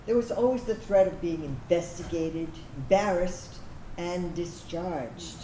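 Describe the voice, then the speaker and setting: A woman's voice: on the low side, measured pace, dark tone, lightly acted. A woman giving a scripted staged reading as a proud veteran who served in secret. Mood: earnest. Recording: echoey room, background noise.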